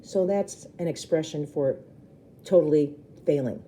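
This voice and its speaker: Woman, storyteller, low pitch, soft dark timbre, expressive children's book reading, explanatory asides. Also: a little slow, slight background noise. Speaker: read-aloud storyteller.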